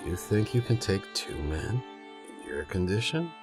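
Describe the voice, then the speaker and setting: A man's voice: on the low side, big pitch swings, normal speed, music in the background, voice pushed up. A man playing a stern, paternal western bandit boss in an audiobook, commanding and menacing. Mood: gently threatening.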